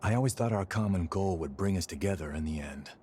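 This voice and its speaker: An actor playing a loyal, frank young fighter in a video game, talking casually. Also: male voice, sits low, fast talker, dark tone, a little hiss or hum, close to an everyday voice. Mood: earnest, reflective. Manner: casual, colloquial. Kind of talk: video game cutscene dialogue.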